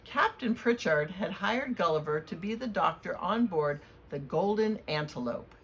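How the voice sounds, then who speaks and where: A woman reading a storybook aloud to children in a deliberate, expressive way. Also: fairly low pitch. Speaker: children's read-aloud reader at a library. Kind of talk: storybook read-aloud with spoken introduction. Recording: clear background noise.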